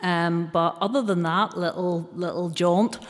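Belfast-accented woman author in her 50s, low-pitched, wry and articulate, long hall reverb. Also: fairly even intonation, unhurried. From Belfast, Northern Ireland. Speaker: author guest. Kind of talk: literary discussion. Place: large marbled sculpture hall in a gallery.

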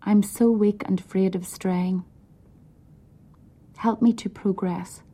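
Husky whispering woman praying. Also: mid-range voice. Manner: hushed, pleading, slow and even. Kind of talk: whispered prayer reading.

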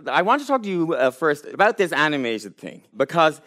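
A man's voice: fairly high pitch, sing-song, fairly quick, a bit bright. A man hosting a talk show, chatting with guests in a self-deprecating way and often restarting his sentences. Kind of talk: lively interview chat.

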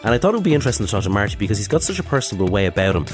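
Irish male host, low voice, slightly blocked-up nose, relaxed chatty intro over a music bed. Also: rapid-fire. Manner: chatty, warm praise with many ums and uhs. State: has a cold, snuffly. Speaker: podcast host and interviewer. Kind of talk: casual scripted intro and interview.

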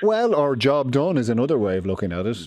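Irish radio host asking questions. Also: male voice, medium pitch, very animated, quick pace, darkish tone, no room sound. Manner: probing questions, informal, repeats words. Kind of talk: radio talk show interview.

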